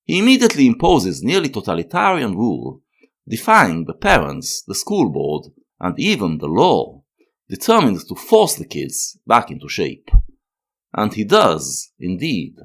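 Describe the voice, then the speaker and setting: A man with a low voice narrates a scripted analytical essay. His pitch swings very widely as he gives his opinions in formal phrasing, like the host of a review series. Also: wildly swooping pitch, unhurried, vocal fry, fairly bright tone.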